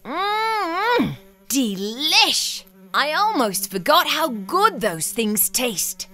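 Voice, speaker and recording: A woman's voice: high-pitched, very animated, very slow, sharp tone, exaggerated voice. A woman voicing a fussy, neat-freak young male frog who exclaims and frets dramatically in a storybook reading. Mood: contented. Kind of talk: storybook read-aloud acting.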